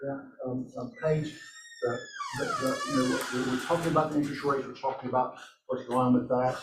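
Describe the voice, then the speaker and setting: A man's voice: mid-range voice, wildly swooping pitch, a little fast, faint music behind. Upbeat man leading a meeting. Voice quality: upbeat, encouraging, quizzes the room. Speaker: real estate office leader running a team meeting. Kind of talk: meeting talk to a room. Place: meeting room. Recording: echoey room, from a distance, faint noise.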